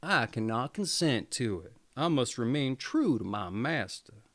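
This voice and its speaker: A man plays an old storybook dog, honest and firm. The delivery is earnest and loyal, dipping a little lower at times. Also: big pitch swings, measured pace, no room sound. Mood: earnest refusal.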